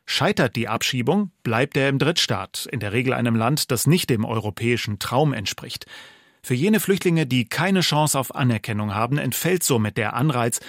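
A man reads newspaper commentary in a measured, formal radio style. His pitch is middling. The studio sound is dry, with no room echo. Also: expressive. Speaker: reader of press commentary. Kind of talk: formal reading of press quotes.

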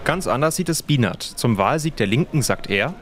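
A man narrates a radio reportage from a script. His voice is middling in pitch and he works in a reporter's register. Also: lively intonation, moderate pace, slight background noise. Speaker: street reporter.

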